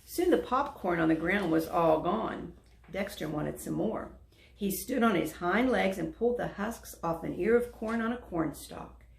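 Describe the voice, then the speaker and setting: Low, creaky woman storyteller in echoey room. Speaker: volunteer storyteller. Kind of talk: read-aloud children's story narration.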